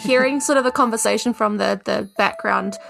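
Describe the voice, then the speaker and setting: A woman's voice: medium pitch, fairly quick, vocal fry, fairly bright tone, soft background music. A woman with a New Zealand accent playing a tabletop roleplaying game, improvising casually.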